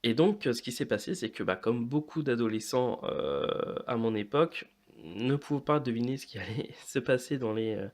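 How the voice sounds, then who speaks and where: A man in his late thirties presenting informally to viewers as a video host, often hesitating with drawn-out fillers. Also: mid-range voice, animated. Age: late 30s. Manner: hesitant, frequent long filler pauses. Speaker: YouTube host of a toy and model kit channel.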